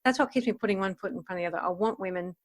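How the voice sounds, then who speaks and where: Interviewee: female, about 50, mid-pitched voice, informal and candid, thinking back over her own experience. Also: rapid-fire, slight background noise. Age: around 50. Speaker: life coach and podcaster being interviewed. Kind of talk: reflective interview answers.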